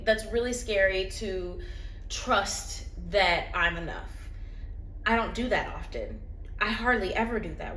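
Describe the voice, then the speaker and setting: A woman records a heartfelt, reflective vlog on her phone at home. Her voice is bright and clear. The room is roomy and echoey, with a faint hum in the background. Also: mid-range voice. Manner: earnest and reflective. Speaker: vlogger. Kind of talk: candid personal vlog talk.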